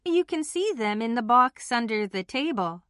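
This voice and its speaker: A woman playing a friendly girl in scripted English lesson dialogue, speaking deliberately with careful enunciation. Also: fairly high pitch, big pitch swings, a bit bright, dry recording, studio quality. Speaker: listening test voice actor.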